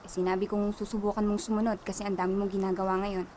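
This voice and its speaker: A woman's voice: mid-range voice, little pitch movement, quick pace, close to an everyday voice. A woman with a Filipino accent playing a kindly, composed middle-aged mother in a radio drama, speaking politely. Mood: somber.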